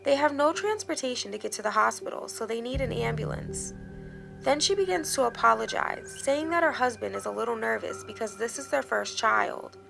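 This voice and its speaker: A woman narrating a scripted dramatic first-person story in a measured, expressive storytelling manner. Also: fairly high pitch, gravelly. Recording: background music under the voice. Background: music.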